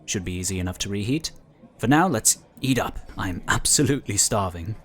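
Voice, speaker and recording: An actor playing an amiable, knowledgeable psionic inquisitor who explains things in a talkative, encouraging way in an audio drama. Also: male voice, on the low side, expressive, brisk, husky. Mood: enthusiastic.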